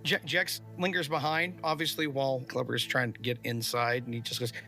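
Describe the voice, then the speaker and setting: A man's voice: medium pitch, flat delivery, brisk, bright, forceful tone, faint music behind. A man chatting casually as a player in a tabletop roleplaying game.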